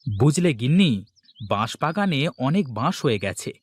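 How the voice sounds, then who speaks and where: Man voicing a lively, sweet-talking village husband. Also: a little high, normal speed. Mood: confident. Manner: cajoling, boastful. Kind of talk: Bengali comedy cartoon dubbing.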